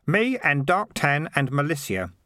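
A man's voice: fairly high pitch, big pitch swings, measured pace, bright, forceful tone, no room sound, clean studio sound, voice pushed up, full character voice. A man voicing an earnest, worried and slightly hesitant boy in a storybook.

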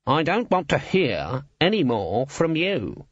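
A man's voice: fairly high pitch, huge pitch swings, slow pace, husky, no echo, highs cut off, voice pushed up, heavily acted. A man voicing an irritable, domineering guild boss in a storybook reading, growling and sarcastic. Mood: angry, commanding.

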